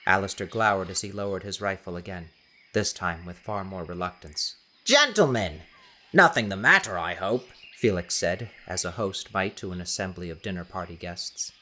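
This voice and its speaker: A man reading a scripted audiobook as narrator, with a dramatic, deliberate storytelling manner. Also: sits low, little pitch movement, bright, forceful tone.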